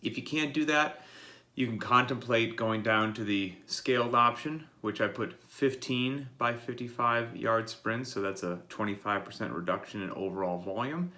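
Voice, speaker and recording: A man's voice: medium pitch, normal speed, sharp tone. A man coaching and explaining the day's workout to his members while congested from a cold. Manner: plain, explanatory, talks straight to the listener. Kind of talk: daily workout briefing.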